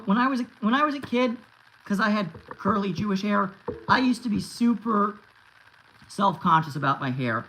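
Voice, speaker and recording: A man's voice: high voice, fairly bright tone, a little hiss or hum, poor audio. A man in his thirties chatting casually on a livestream and answering viewers, rambling with many restarts and fillers. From United States. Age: 30s. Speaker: YouTube host answering live chat.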